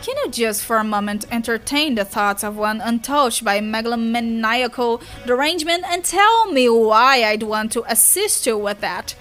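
A woman voicing a composed young female troll who speaks in a measured, prim and wry way. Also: on the high side, lively intonation, fairly bright tone, faint music behind. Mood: dry, prim.

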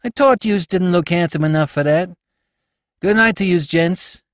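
A man's voice: high-pitched, normal speed, no echo, studio quality, pitched above the performer's own voice, natural voice. A man playing a ragged young street tough with a New York Bowery accent, whimsical, defiant and mock-courteous, in a storybook. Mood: mock regretful.

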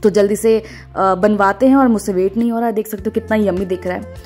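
A young woman narrates her travel vlog in an informal, chatty style. Her voice sits at an ordinary female pitch and speaks straight to the viewers. Music plays behind her. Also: fairly even intonation, quick pace, a little mellow. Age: 20s. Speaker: travel vlogger. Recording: music under the voice. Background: background music.

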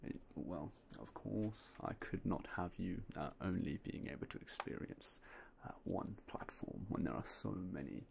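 A young man softly whispering in a polite ASMR roleplay as a game store clerk, close to a binaural mic. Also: sits low, normal speed, vocal fry, background noise. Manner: soft, polite, mostly unvoiced whispering.

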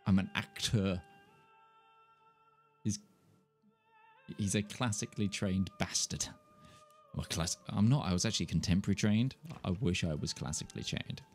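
A young Englishman with a mild Kentish lilt and a nasal tone talks in a lowish voice, rambling and joking about himself as he streams a game. He sits at a desk mic, and faint game music plays behind him. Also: moderate pace, pauses often. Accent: southern English, mild Kentish. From England. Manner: rambling, self-mocking, laughs often. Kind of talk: casual live-stream chat while playing. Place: indoor home room, gaming chair. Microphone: desk mic in front of him.

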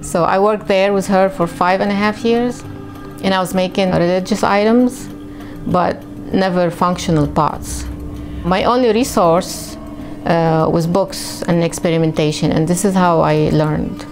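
A woman in her forties from Lebanon, a ceramic artist telling her story in an unhurried, reflective way with frequent fillers, recorded on a lapel mic in her home studio. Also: fairly low pitch, steady tone. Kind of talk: interview storytelling. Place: home studio in a dining room. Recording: music bed behind the voice.

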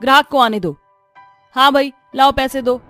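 Woman acting a high, scolding village woman. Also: normal speed, fairly bright tone, faint music behind. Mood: curt, irritated. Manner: curt, scolding, dismissive. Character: brusque money-minded village woman toilet attendant. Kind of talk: Hindi cartoon horror-comedy voice acting.

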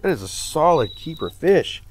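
A man's voice: a little high, husky. A man hosting a fishing vlog from a boat by a dock, exclaiming and laughing as he talks. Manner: excitable, exclaiming, quick laughs. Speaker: fishing channel host. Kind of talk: excited outdoor fishing vlog.

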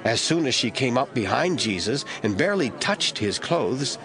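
Male narrator, medium pitch, lively intonation, expressive scripted scripture storytelling. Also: moderate pace, crowd noise. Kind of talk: scripted dramatic Bible narration.

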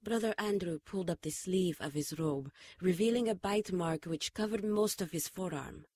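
An actress playing a solemn, stern, mystical woman in a radio drama, speaking in a formal, measured way. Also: on the low side, a little mellow, breathy edge, no room sound, clean studio sound. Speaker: radio drama actor and narrator. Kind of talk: radio drama narration and dialogue.

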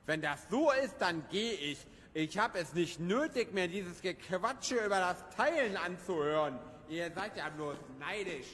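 A man's voice: high-pitched, animated, a little slow, few pauses, a bit bright. A man playing a boastful, greedy and rather dim village farmer who talks in a huffy way. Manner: huffy, bragging. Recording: long hall reverb, faint noise.

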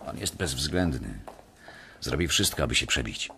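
An actor playing a laconic, guarded young banker in a radio drama, giving terse replies. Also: male voice, rumbling low, lively intonation, moderate pace, gravelly, pushed and bright, a little hiss or hum, exaggerated voice.

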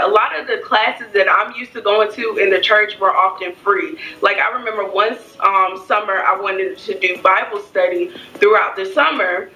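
Bright-voiced young woman, roomy distant recording. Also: on the high side. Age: young adult. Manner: emphatic, repeats phrases for stress. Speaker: vlogger. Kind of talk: casual personal storytelling to camera. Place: home kitchen, indoors.

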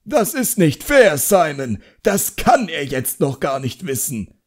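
A man's voice: on the high side, sing-song, slow pace, pitched above the performer's own voice, heavily acted. A man voicing an agitated, protesting master wizard in an audiobook. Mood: outraged. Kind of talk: audiobook character acting.

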